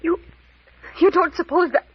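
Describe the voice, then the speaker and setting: A woman's voice: very high-pitched, normal speed, dry recording. An actress playing a terrified young woman in a vintage radio melodrama, pleading and stammering through tears. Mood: nervous, tearful. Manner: breathless, stammering, tearful pleading. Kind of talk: 1940s radio melodrama acting. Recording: dull old radio recording.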